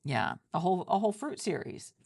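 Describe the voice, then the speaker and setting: A woman with a low-pitched voice and plenty of vocal fry plays an interviewer in an ASMR roleplay. She speaks conversationally, feeling her way through sentences with halting, repeated phrases. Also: big pitch swings, darkish tone.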